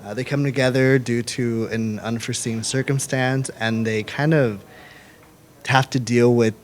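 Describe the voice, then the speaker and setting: A man's voice: medium pitch, a little slow. A man guest who writes and directs his own play, explaining it earnestly in a casual live chat. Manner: earnest, grows excited.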